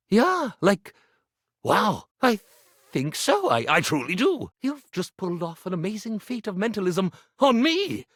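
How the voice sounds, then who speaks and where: A man voicing a grandiose stage magician for a video game, an animated iron who declaims in a pompous, florid, melodramatic way. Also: high-pitched, no echo, studio quality, heavily acted. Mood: flustered, sincere, then impressed, courteous. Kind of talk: video game character voice acting.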